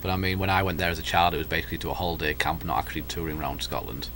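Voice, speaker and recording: A man's voice: low voice, steady tone, rapid-fire, a bit bright, a little hiss or hum. A young man from England with an English accent, chatting easily as a guest student and laughing often. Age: young adult. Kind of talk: casual radio chat.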